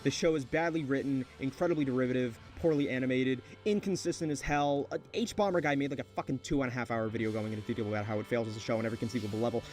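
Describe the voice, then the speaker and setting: A man's voice: medium pitch, steady tone, very fast, few pauses, darkish tone, soft background music. A man narrating a scripted video essay in a blunt, opinionated way with some swearing.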